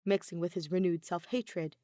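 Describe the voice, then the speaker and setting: A woman's voice: lowish voice, fairly even intonation, moderate pace, dark tone, no echo. Woman reading a dramatic story, dry recording. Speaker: podfic reader. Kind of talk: dramatic audiobook narration of fan fiction.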